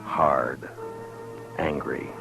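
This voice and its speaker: A very deep American man's voice as a dry, understated frontier marshal. He speaks in measured, hard-boiled phrases, as in a 1950s radio western with music underneath. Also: fairly even intonation, vocal fry, exaggerated voice. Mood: brooding, uneasy. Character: calm, dry frontier marshal narrating his own story.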